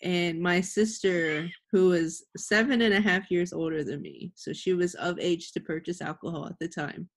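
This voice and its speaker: A woman's voice: lowish voice, fairly even intonation, normal speed, few pauses. A woman hosting a podcast, teasing her co-hosts and telling a story. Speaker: podcast host. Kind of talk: casual chat.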